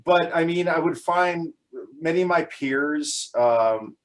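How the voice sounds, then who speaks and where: A man's voice: on the high side, slow pace, poor audio. Hesitant man in his forties. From Canada. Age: 40s. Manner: hesitant, many fillers and restarts; laughs often. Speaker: guest. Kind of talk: casual interview talk.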